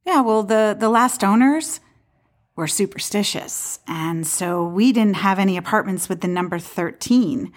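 An actress playing a skeptical, easily flustered woman in a comedic audio play, talking in a halting, conversational, protesting way. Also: medium pitch, expressive, close to an everyday voice. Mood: casual, curious. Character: skeptical, flustered woman who rejects romantic destiny.

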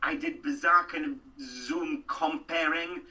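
A man's voice: a little high, very animated, measured pace, bright, forceful tone, recorded from a distance, poor audio. A middle-aged Welsh man from Wales, an actor chatting animatedly in an interview and rambling through stories with lots of restarts. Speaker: actor and guest.

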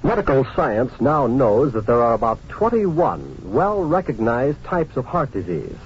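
Male vintage radio announcer, formal and emphatic, lively intonation, muffled sound. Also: mid-range voice, unhurried. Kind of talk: scripted 1950s radio announcement. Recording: muffled old radio recording, light noise.

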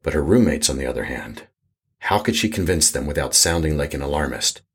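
A man narrating a scripted horror audio drama as an ominous storyteller with a husky voice. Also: expressive, a little fast, full character voice. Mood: foreboding. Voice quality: husky, very deep.

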